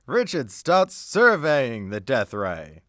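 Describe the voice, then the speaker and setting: Measured, lively-pitched man narrating. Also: no room sound, studio quality. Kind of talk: scripted radio play narration.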